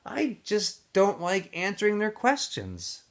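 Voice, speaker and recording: A man reading aloud and voicing a quiet, clever boy who answers in soft, matter-of-fact replies. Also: sits high, huge pitch swings, takes it slowly, pitched above the performer's own voice, full character voice. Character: quiet clever boy digger, shy and proud.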